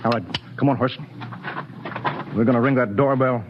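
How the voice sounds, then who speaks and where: A man's voice: mid-range voice, steady tone, moderate pace, lightly acted. A man playing a commanding spaceship captain, logical, 1950s radio drama, dull broadcast sound, faint hiss. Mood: stern. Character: stern rational male spaceship captain. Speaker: ship captain.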